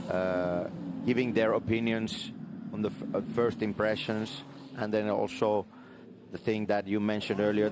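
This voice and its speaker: A man's voice: lowish voice, background noise. A man explaining race control steps methodically, with slightly non-native phrasing. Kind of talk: explanatory live commentary.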